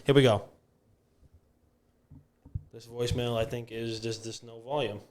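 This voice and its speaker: A man's voice: mid-range voice, steady tone, measured pace, a little mellow. A man co-hosting a podcast in casual chat, often chiming in. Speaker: podcast co-host.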